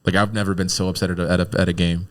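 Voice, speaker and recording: A man's voice: low-pitched, flat delivery, rapid-fire. A man hosting a casual podcast, chatting in an informal way. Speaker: podcast host.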